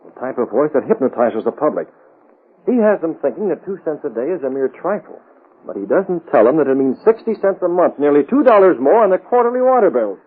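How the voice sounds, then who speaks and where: A man's voice: on the high side, big pitch swings, brisk, husky. A man acting a determined crusading newspaperman in a 1930s-style radio drama. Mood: frustrated. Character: determined crusading newspaperman with a secret vigilante identity. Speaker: actor. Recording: muffled, narrow-band old radio recording.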